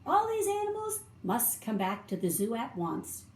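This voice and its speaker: A woman's voice: fairly high pitch, wildly swooping pitch, normal speed, darkish tone. A woman voicing a flustered storybook zookeeper, urgent and emphatic. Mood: firm. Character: flustered male zookeeper, pleading and bargaining. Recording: roomy echo, faint background noise.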